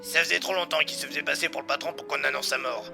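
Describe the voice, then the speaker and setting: Man playing a secretive, gravelly criminal informant. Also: fairly high pitch, fairly even intonation, fast, music in the background. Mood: confiding. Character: nervous, secretive male informant inside a criminal organisation. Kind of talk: audio drama acting.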